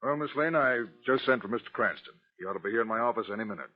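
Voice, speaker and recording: On a muffled vintage radio recording, an actor plays an earnest male prison warden. He talks quickly at a medium pitch, in old radio drama style. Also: expressive, loud music behind the voice, natural voice. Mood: cordial. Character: earnest, grateful prison warden, adult man. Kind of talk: 1940s radio acting.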